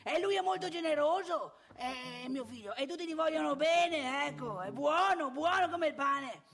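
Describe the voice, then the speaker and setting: High-pitched grumbling older father. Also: expressive, moderate pace, a bit bright, heavily acted. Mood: wry, confiding. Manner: colloquial, repetitive, confiding, addresses the listener directly. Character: older family man, father of three grown children, grumbling, confiding. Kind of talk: theatrical comic monologue.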